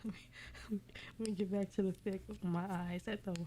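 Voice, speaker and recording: A woman streamer reading fan fiction aloud casually, giggly and self-censoring, often dropping into a breathy near-whisper. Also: medium pitch, little pitch movement, a little fast, warm tone, slight background noise.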